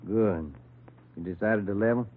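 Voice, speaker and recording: A man's voice: on the low side, moderate pace, natural voice. An actor playing a plain-spoken, grumbling family-man police detective in a vintage radio crime drama. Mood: plain, firm. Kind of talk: 1940s-50s radio crime drama acting. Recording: muffled old radio recording, faint noise.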